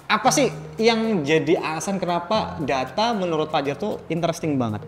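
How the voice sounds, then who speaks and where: A man hosting a casual podcast interview in a playful, animated, slangy and joking manner. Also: fairly high pitch, moderate pace, long reverb tail, faint music behind. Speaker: podcast host.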